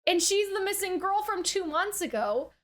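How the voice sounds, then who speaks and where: A very high-voiced woman gives unscripted, casual commentary as a reaction host. She reasons aloud in a rambling, conversational way, often tagging her points with little check-in questions. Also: expressive, normal speed, a bit bright. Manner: rambling, theorising aloud, frequently checks with "right?" and "you know".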